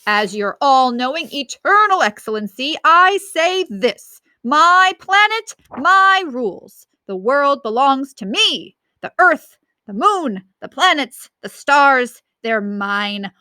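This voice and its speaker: A woman's voice: sits high, big pitch swings, takes it slowly, sharp tone, no room sound, voice pushed up, heavily acted. A woman voicing a cheeky schoolboy in a storybook who mockingly imitates a pompous dictator in a grandiose way.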